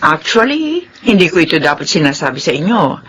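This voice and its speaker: A woman's voice: low-pitched, big pitch swings, fairly quick, a bit bright, husky, full character voice. A Filipino woman acting a polite, reassuring office manager in a radio drama. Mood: businesslike. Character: smooth, secretly compliant female office manager.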